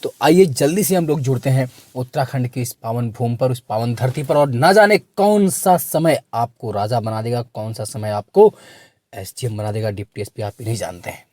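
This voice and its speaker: A man with a hoarse, sore-throated voice teaching a quiz lesson for exam students, repeating questions and prompting listeners to answer. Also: medium pitch, normal speed, darkish tone, no room sound.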